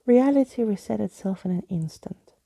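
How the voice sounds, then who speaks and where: A woman reading a storybook as soft ASMR narration, hushed, breathy and often whispering, close to the microphone. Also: mid-range voice, lively intonation, normal speed, dry recording. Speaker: ASMR reader.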